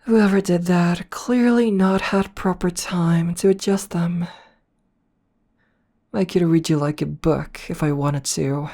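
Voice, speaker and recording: Woman as a low-voiced vampire princess: slow, breathy, condescending ASMR seduction. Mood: mocking. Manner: teasing, condescending, softly purring. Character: haughty seductive noble female vampire princess. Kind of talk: ASMR audio roleplay.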